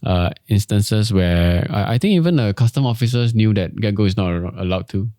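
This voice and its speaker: A man with a Singaporean accent giving measured, explanatory interview answers as a company executive, often repeating phrases as he goes. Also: on the low side, expressive, warm tone, dry recording, studio quality. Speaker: company executive, podcast guest.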